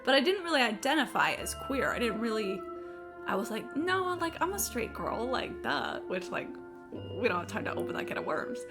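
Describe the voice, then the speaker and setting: A woman's voice: a little high, sing-song, normal speed, music in the background. A woman streamer chatting casually while gaming, rambling and candid, slangy and playfully sarcastic.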